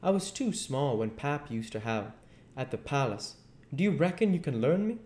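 An old rustic swindler who calls himself a king, eager and folksy, as played by a man reading a storybook. The voice sits raised in pitch, with room echo around it. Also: very animated, normal speed, darkish tone, close to an everyday voice. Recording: echoey room.

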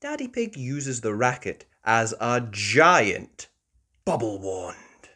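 Brief: female storybook reader and host, unhurried, expressive, hugely varied intonation for young children. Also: extremely low pitch. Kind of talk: expressive children's read-aloud narration.

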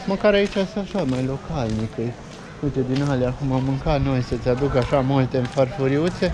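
A man in his thirties talks casually into a handheld camera while walking a market street, pointing things out as he goes. His voice is mid-pitched. Traffic and street noise run clearly underneath. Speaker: travel vlogger host. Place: outdoor street market in a town. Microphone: handheld action camera at arm's length. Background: street traffic and town noise.

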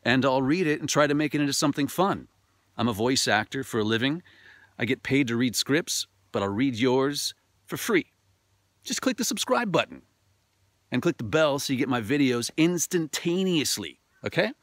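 A man's voice: mid-range voice, animated, moderate pace, no room sound, studio quality. A middle-aged man who works as a storyteller, talking and narrating in a dramatic way. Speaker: storyteller, voice actor. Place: outdoors in a forest.

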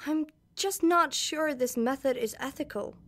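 An actress playing a principled young science student, earnest and conscientious, in a sci-fi audio drama. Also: female voice, sits high, measured pace, fairly bright tone. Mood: uneasy. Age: young adult.

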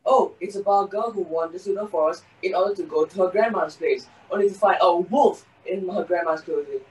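Young woman as low, distant, fussy show narrator. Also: a little fast, darkish tone, natural voice. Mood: theatrical. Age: teenager. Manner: theatrical. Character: affected, fussy fairy-tale show narrator. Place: bedroom. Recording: distant, noisy video call.

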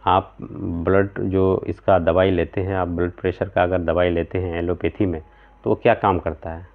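Low, flat-voiced man on a tinny line. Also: normal speed, vocal fry. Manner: earnest, explanatory, gesturing with both hands, frequent "theek hai" check-ins. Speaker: homeopathic practitioner. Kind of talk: explanatory talk to camera. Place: small room at home, indoors. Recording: tinny, phone-like sound.

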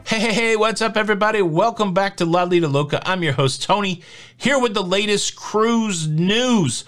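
A man in his forties delivers cruise updates from a home studio in a casual vlog style. His voice sits a little high for a man, and he keeps things chatty and jokey, tossing in fillers as he goes. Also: moderate pace, fairly bright tone, faint music behind. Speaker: host. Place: home studio with shelves of collectibles.

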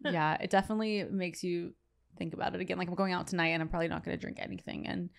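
A young woman hosts a laid-back podcast conversation. Her voice sits fairly low and turns gravelly and fry-heavy at the ends of phrases. She sounds close to a studio microphone. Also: racing. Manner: relaxed, chatty, lots of fillers. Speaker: podcast host. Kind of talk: casual chat. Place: decorated studio set. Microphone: studio mic on boom arm.

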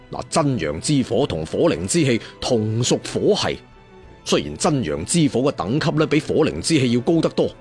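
A male Cantonese storyteller narrates a fantasy serial in a very lively, swinging delivery. He is theatrical, slipping in chatty asides and leaning on his endings. Faint to clear music plays behind him. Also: mid-range voice. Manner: theatrical, conversational asides, drawn-out emphatic endings.

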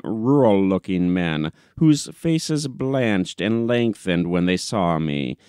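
A man's voice: fairly low pitch, a little mellow, clear, resonant tone, dry recording, studio quality. A man reading a literary short story as an audiobook narrator, in a scripted narration style.

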